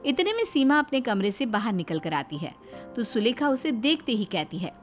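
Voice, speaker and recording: A woman's voice: on the high side, big pitch swings, moderate pace, faint music behind. A woman narrator reading a scripted family story.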